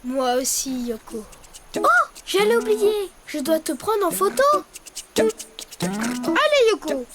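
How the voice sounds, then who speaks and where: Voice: very high-pitched, sing-song, slow pace, long pauses, full character voice. An actor playing a friendly young child who explains drawing step by step in a preschool cartoon. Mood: gentle, helpful. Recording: loud music behind the voice.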